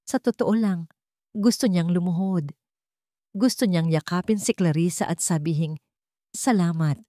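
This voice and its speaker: A woman's voice: fairly low pitch, normal speed, mellow, dry recording, studio quality. A woman reading scripted story narration in a dramatic storytelling style.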